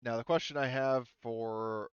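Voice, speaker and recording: A man casually narrating a tabletop roleplaying game as game master, speaking haltingly as he searches for words. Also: mid-range voice, steady tone, clean tone, dry recording, highs cut off.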